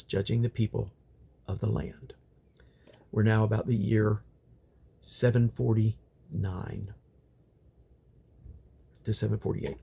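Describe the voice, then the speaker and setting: Gravelly man reading scripture aloud. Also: mid-range voice, a little mellow. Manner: deliberate, solemn, phrase-by-phrase delivery. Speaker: Bible reader.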